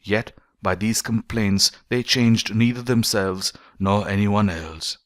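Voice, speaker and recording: Low-voiced man narrating an audiobook. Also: fairly even intonation, slow, dry recording, clean studio sound. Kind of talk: scripted audiobook narration of a philosophical essay.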